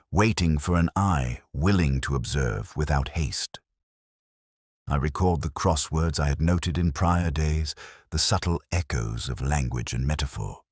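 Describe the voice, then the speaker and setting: Casting: male actor as contemplative, observant detective narrator for a mystery audio drama; extremely low register, varied intonation, measured literary delivery. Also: no echo, studio quality. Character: pensive, observant male detective recounting his case. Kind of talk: scripted first-person detective narration.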